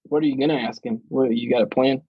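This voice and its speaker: A man's voice: mid-range voice, steady tone, fast, creaky, slightly rough, no echo, dull audio. A man co-hosting a casual podcast, laid-back, giving short replies and prompting questions. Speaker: podcast co-host. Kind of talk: casual conversation.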